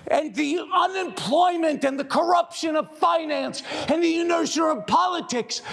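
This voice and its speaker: An actor playing an enraged, fed-up TV news anchor who shouts at his viewers in a dramatic monologue. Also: very high-pitched, gravelly, full character voice. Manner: shouting, exhorting, repeating refrains. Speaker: stage actor.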